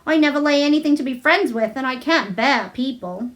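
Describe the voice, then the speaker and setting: Woman playing frail young boy, high voice, breathless, excitable, intense, storybook character. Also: fairly quick. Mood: glum. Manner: breathless, eager.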